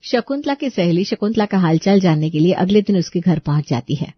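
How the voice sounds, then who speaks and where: A woman's voice: on the low side, animated, brisk, slightly rough, no echo, muffled recording. A woman narrator reading a scripted domestic story.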